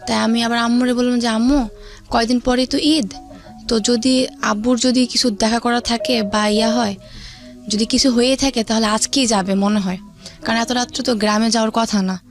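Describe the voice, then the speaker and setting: A young woman with a Bangladeshi accent, casually recounting events as an interview guest in a halting way. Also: fairly high pitch, steady tone, soft background music.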